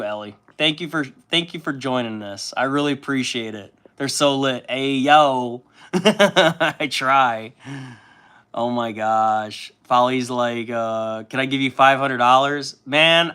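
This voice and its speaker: A young man hosting a casual livestream while painting miniatures, chatty and at times loud and impassioned. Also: mid-range voice, takes it slowly, clear, resonant tone.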